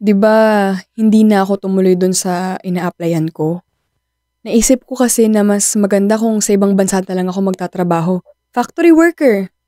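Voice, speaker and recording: A woman's voice: mid-range voice, moderate pace, warm tone, dry recording, studio quality, close to an everyday voice. A woman with a Filipino accent playing a desperate, stubborn young woman in a radio drama. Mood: hesitant, resolute. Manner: insistent, pleading, defensive.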